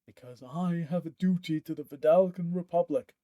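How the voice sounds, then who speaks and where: A man's voice: fairly high pitch, normal speed, warm tone, no room sound, pitched above the performer's own voice, close to an everyday voice. A man doing a firm, duty-bound Vedalken warrior. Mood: resolute. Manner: firm, resolute.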